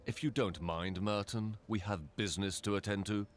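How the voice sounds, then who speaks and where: A man's voice: lowish voice, moderate pace, lightly acted. An actor voicing a wry male lord in a fantasy video game, with drawling emphasis. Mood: dismissive. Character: wry, unhurried male lord greeting adventurers.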